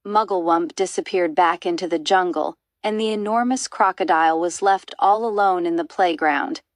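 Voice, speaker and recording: A woman's voice: lowish voice, normal speed, dry recording, studio quality. A woman narrating a children's storybook as an audiobook reader.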